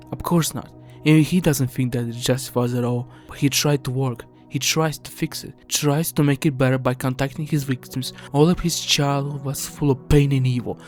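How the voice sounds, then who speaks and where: Guy narrating a video essay, pretty flat and serious, music playing underneath. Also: medium pitch. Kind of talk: scripted narration.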